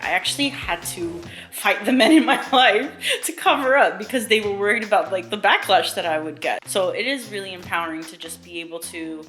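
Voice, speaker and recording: Chatty thirtysomething woman interviewee, slightly low pitch, over a clear music bed. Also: fairly bright tone. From Southern California. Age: 30s. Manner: casual, chatty, many fillers, laughs easily. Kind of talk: candid sit-down interview.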